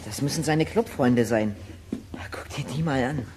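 A man's voice: medium pitch, moderate pace, noisy background, lightly acted. A male actor playing a bold, wry boy detective in a children's audio drama, with a theatrical manner. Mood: conspiratorial.

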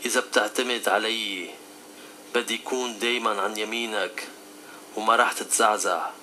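A man's voice: lowish voice, steady tone, moderate pace, sharp tone, slight background noise, voice pushed down. A man with a Levantine Arabic accent playing a stern, fatherly divine counsellor in a devotional skit, speaking solemnly and admonishingly.

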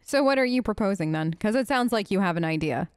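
A woman's voice: medium pitch, lively intonation, quick pace, clean tone, dry recording, clean studio sound. A woman improvising in-character commentary for a role-play let's play, conversational and hesitant as she thinks aloud.

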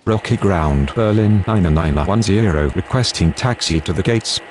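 A man's voice: low-pitched, normal speed, darkish tone, lightly acted. An actor playing a sober, procedural male pilot and controller in a game, speaking clipped aviation radio phrases. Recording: faint outdoor vehicle noise.

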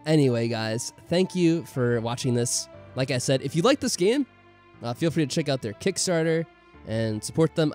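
Animated man chatting casually over a game. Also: mid-range voice. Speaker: gaming video host.

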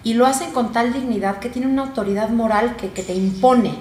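A woman's voice: a little high, a little fast. A woman in her thirties from Mexico with a Mexican accent, telling a travel story animatedly as a talk show guest. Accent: Mexican Spanish. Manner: animated, storytelling, laughs often. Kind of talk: studio talk show chat. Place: small TV studio, round table. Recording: roomy studio with faint noise.

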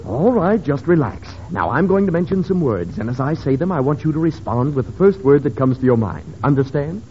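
An actor playing a self-important, formal doctor questioning patients in a 1930s radio comedy. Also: male voice, fairly high pitch, big pitch swings, fairly quick. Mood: instructing. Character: self-important, patient but easily interrupted psychoanalyst doctor. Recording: muffled, hissy 1930s radio recording.

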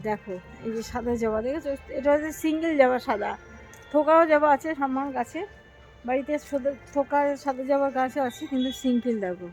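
High-pitched woman vlogger. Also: brisk, darkish tone, soft background music, low-quality recording. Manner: effusive, repetitive, showing things to viewers. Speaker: vlogger and host of own page. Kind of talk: casual home garden vlog, chatting to viewers. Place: outdoors in a home garden.

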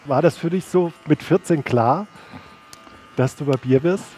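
A man's voice: fairly high pitch, measured pace, warm tone, soft background music. A man in his thirties presenting and interviewing at an event, speaking into a headset mic in a sports hall. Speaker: host and interviewer. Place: large indoor sports hall.

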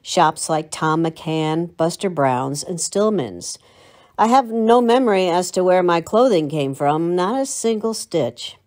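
A woman's voice: fairly low pitch, animated, measured pace, darkish tone. An older woman with an American accent, from Long Island, narrating a scripted local history piece in a reminiscing, storytelling manner. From Long Island, United States. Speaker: library local history presenter.

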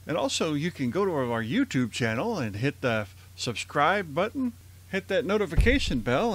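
A man's voice: on the high side, fairly bright tone. A man hosting and announcing a radio theater outro, addressing listeners directly in a friendly, scripted way. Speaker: host and announcer.

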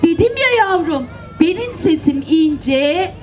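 A woman storyteller acts out a cautious mother goat from a storybook, high-voiced and slow. She is caring and instructive, warning her young deliberately over light outdoor crowd noise. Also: expressive, exaggerated voice. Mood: cautioning.

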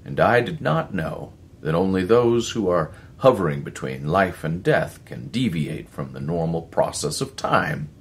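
A man's voice: deep voice, lively intonation, measured pace, gravelly, hardly any pauses, exaggerated voice. A man voicing a grim male scientist in a story, speaking in a grave, explanatory manner.

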